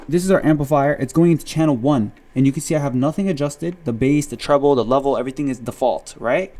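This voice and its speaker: Man, medium pitch, casual instructor, plain-spoken, explanatory, repeats key points, hands-on tutorial. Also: darkish tone. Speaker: instructor demonstrating audio gear.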